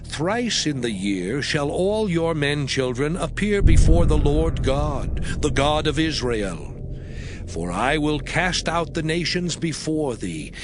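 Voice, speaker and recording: A man playing a solemn, commanding biblical lawgiver in a dramatized scripture reading, declaiming in a measured way. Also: on the high side, noisy background. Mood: stern, commanding. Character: solemn commanding male biblical voice giving divine law. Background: music.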